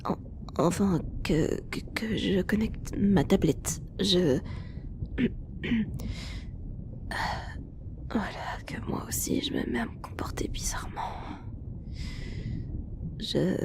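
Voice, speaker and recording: A woman playing an attentive, easily flustered android technician in a French ASMR roleplay, whispering politely with a creaky, breathy edge. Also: mid-range voice, lively intonation, takes it slowly, pauses often. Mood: flustered, hesitant.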